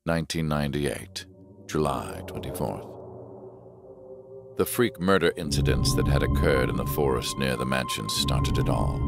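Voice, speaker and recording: Very deep, gravelly scheming villain. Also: male voice. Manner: measured, detached, calculating. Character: cold, scheming male double agent recounting his plans. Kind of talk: scripted narration, report-like.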